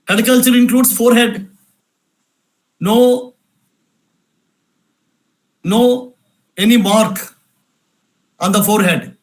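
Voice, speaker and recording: A man speaks in a noticeably high register, lecturing with insistent repetition. He drives his points home through rhetorical questions and repeated phrases. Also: takes it slowly, long pauses, low-quality recording. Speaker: speaker at a cultural event. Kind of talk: emphatic lecture answering questions.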